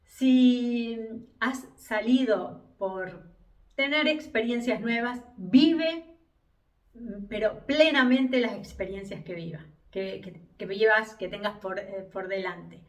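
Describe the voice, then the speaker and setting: A woman's voice: fairly high pitch, slow pace, echoey room, far from the mic. A woman in her fifties with an Argentine Spanish accent, giving advice to camera in a reflective vlog, with frequent hesitations and restarts. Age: 50s. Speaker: vlogger. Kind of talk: casual advice monologue to camera.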